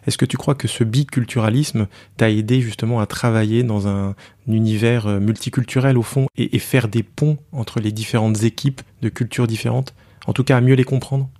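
A man's voice: medium pitch, steady tone, moderate pace, warm tone, dry recording, clean studio sound. A man hosting a coaching podcast, reading a scripted intro, then putting questions to his guest. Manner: clear, polished presenter delivery.

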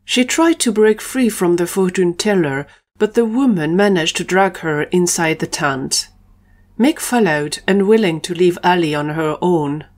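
Low-pitched female story narrator. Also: unhurried.

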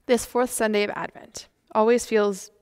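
Woman doing a church reading, average pitch, hall reverb. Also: steady tone, normal speed, darkish tone, clear, resonant tone. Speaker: church worship leader. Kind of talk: scripted liturgical reading. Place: church sanctuary, indoors.

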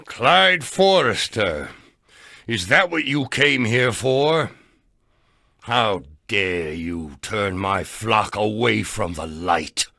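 An actor playing a stern, righteous old gunslinger turned preacher in a video game, speaking in solemn, declamatory biblical phrases. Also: male voice, on the low side, animated, extremely slow, vocal fry, pushed and bright, no room sound, studio quality, heavily acted. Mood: righteous fury. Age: older.

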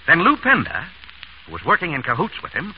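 Brisk, glib doctor-detective, lively old radio. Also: male voice, on the high side. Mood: brisk explaining. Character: quick-witted, confident doctor playing amateur detective. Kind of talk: 1930s radio comedy-mystery acting. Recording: noisy, muffled vintage radio broadcast.

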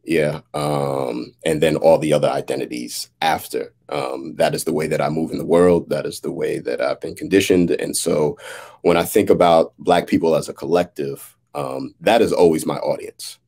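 An American man in his thirties in reflective conversation, speaking in a halting, thoughtful way as a playwright. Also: extremely low pitch, fairly even intonation, creaky, a little mellow. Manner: halting, thoughtful, often checks in with "right?". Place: indoors, on a video call. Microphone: video call.

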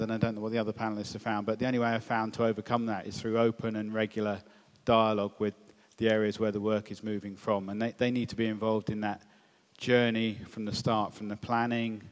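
A man on a conference panel speaking in a measured way and telling anecdotes on a large hall stage. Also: fairly low pitch, little pitch movement. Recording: long hall reverb.

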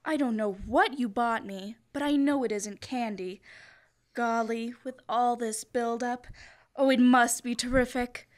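A woman's voice: a little high, steady tone, unhurried, close to an everyday voice. A young woman playing a coy, composed, teasing wife in an old-time radio comedy. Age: teens to young adult. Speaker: student actor. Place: school library room, staged performance. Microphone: stand microphone on stage.

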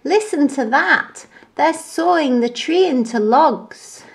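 An excitable young storybook monkey, a boy who is easily startled, acted out by a woman reader. The voice jumps high and swings widely, with exclaiming, deliberate phrases. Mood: alarmed wonder.

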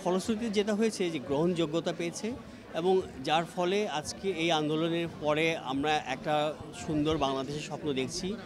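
A man's voice: a little high, fairly even intonation, normal speed. A man in his fifties with a Bangladeshi accent, explaining things in an interview. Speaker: interviewee, exhibition organizer. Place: busy indoor gallery. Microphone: handheld reporter microphone. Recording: busy crowd noise around voice.

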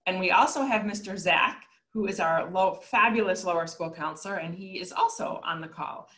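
A woman principal runs through her staff's names on a video call, friendly and a little flustered. Her voice is low for a woman yet bright and forceful. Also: moderate pace. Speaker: school principal.

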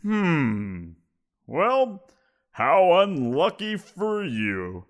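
A man's voice: a little high, wildly swooping pitch, very slow, dull audio, heavily acted. A man voicing a giant wolf creature in an audio roleplay, teasing and amused, chuckling as he talks. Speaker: voice actor.

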